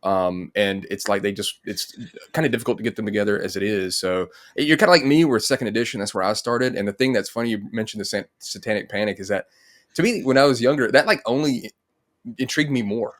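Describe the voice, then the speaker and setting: A man's voice: fairly low pitch, fast. A middle-aged man, hosting a casual interview. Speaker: host. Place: indoor home room, on a video call.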